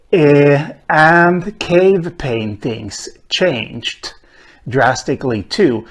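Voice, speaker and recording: Slow, measured male lecturer. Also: medium pitch. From Sweden. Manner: measured, with frequent "uh" pauses. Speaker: linguist lecturer. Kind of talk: whiteboard lecture to camera. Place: room with bookshelves and whiteboard. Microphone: camera at medium distance.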